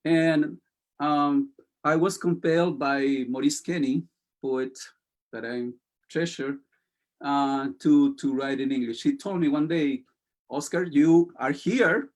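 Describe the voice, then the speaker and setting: A man with a Spanish accent, a poet and interview guest, speaking slowly and hesitantly with many pauses and fillers, and reading poems aloud. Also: on the high side. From Chile. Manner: slow, hesitant, many fillers, soft-spoken.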